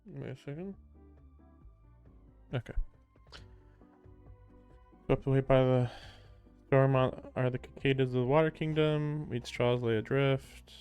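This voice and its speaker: A male game streamer reacts casually, muttering in a laid-back way. His mid-pitched voice is creaky with fry, and faint music plays behind him. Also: steady tone, slow pace, long pauses, darkish tone. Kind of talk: casual live reaction commentary.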